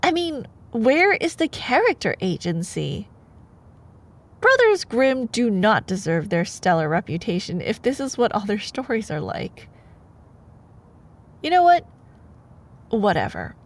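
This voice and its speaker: A woman playing a sweet, playful, opinionated girlfriend in an intimate bedtime-story ASMR roleplay, expressive in her delivery. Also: a little high, full character voice. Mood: exasperated, incredulous. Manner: expressive, exasperated asides between measured reading.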